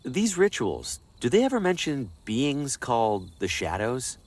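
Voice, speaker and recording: A man's voice: medium pitch, wildly swooping pitch, unhurried, a little mellow, heavily acted. An actor playing a wary, awkward everyman salesman in a video game, hesitant and wryly skeptical. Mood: curious, dry. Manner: hesitant, wry.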